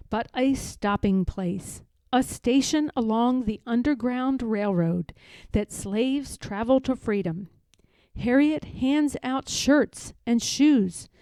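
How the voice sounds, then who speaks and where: A woman narrates a storybook at a very slow, deliberate pace. Her voice sits fairly high but has a soft, mellow tone, recorded dry and close. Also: no echo, low-quality recording. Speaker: storybook reader. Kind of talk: expressive scripted read-aloud narration.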